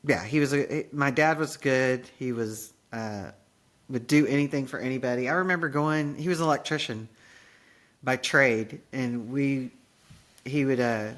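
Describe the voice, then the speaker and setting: A woman's voice: extremely low pitch, moderate pace. A woman in her late fifties, a podcast host in casual conversation, chatty and goofy, often laughing at herself. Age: 50s.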